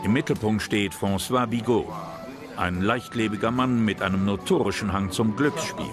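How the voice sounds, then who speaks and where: A man's voice: fairly low pitch, normal speed, music in the background. A man delivering scripted narration as the narrator of a history documentary.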